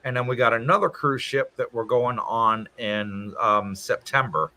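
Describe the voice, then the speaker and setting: A man's voice: mid-range voice, a little slow, pushed and bright. A man hosting a casual livestream from his home, reading out viewer comments and answering them in a chatty way. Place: home in Thailand.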